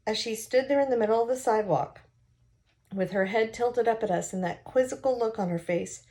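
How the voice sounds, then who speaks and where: A woman reading a novel aloud expressively, pausing now and then to explain it to listeners. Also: a little high, warm tone.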